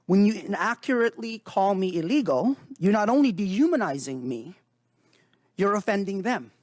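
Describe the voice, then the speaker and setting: A man in his thirties from the Philippines, a native Tagalog speaker, giving earnest, measured prepared testimony to a committee. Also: sits high, big pitch swings, no room sound, clean studio sound. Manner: formal, earnest, measured delivery. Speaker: witness before a committee. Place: hearing room.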